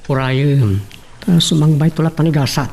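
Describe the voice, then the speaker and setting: A man's voice: a little high, normal speed, vocal fry, a little mellow. A man playing a poor, hesitant husband looking for work in an Ilocano audio drama, with an Ilocano accent. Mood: pleading.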